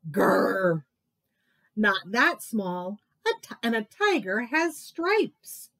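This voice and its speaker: A woman's voice: mid-range voice, sing-song, extremely slow, gravelly, fairly bright tone, no echo, heavily acted. A woman doing a bossy scolding crocodile. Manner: scolding, emphatic. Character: bossy know-it-all crocodile. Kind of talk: picture-book read-aloud voice.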